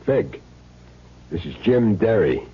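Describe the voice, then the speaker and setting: A man's voice: sits low, sing-song, takes it slowly, husky, full character voice. An actor playing a cool, terse, matter-of-fact hitman in a 1970s radio drama. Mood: businesslike. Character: Jim Derry, cool laconic hitman back in town. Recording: dull lo-fi old radio recording.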